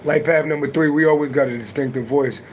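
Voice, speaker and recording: A middle-aged man with a naturally raspy voice, chatting loosely and profanely on a livestream and answering viewers' comments. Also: fairly even intonation, fast talker, slight background noise. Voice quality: deep voice. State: voice a little worn from singing. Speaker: livestream host and astrology reader. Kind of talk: casual livestream chat.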